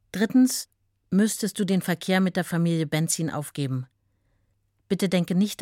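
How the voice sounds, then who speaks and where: An actress playing an estranged wife who reads her letters aloud in a measured, restrained, earnest way. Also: sits low, darkish tone, no room sound, clean studio sound, lightly acted.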